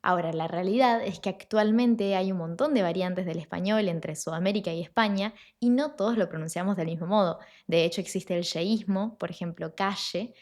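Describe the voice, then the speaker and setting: Woman teaching pronunciation clearly. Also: medium pitch, darkish tone. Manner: clear, explanatory, slows down to model sounds. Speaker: Italian language teacher. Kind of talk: online pronunciation lesson.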